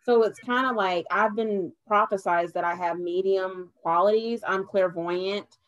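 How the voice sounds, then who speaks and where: A young adult woman, a podcast guest, talking earnestly in a casual chat and turning animated when she laughs. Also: medium pitch, measured pace, dry recording, low-quality recording.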